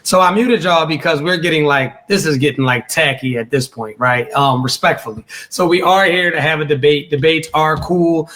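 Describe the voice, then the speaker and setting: A man's voice: a little high, normal speed, hardly any pauses, a bit bright. A man hosting a live podcast debate, moderating in a laid-back, casual way with lots of fillers. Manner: laid-back, filler-heavy, asks "right?" often.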